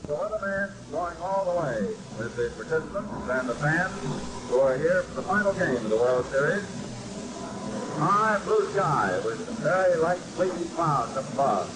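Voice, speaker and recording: An American man giving 1950s baseball radio commentary in florid, dramatic phrases with deliberate pauses, on a vintage broadcast recording. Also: a little high, big pitch swings, background noise. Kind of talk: measured, grandiloquent 1950s sports radio commentary.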